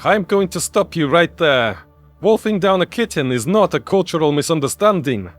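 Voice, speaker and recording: Blunt, higher-voiced human man acted by a man. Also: lively intonation, moderate pace, no echo, faint music behind, close to an everyday voice. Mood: sarcastic. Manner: blunt, sarcastic. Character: stern, sarcastic human man scolding an alien.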